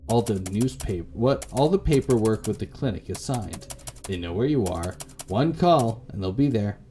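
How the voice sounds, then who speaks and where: A man voicing a calm, pragmatic advisor on a phone call in a visual novel, measured and matter-of-fact. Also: on the low side, a little hiss or hum, lightly acted. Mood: measured, reassuring.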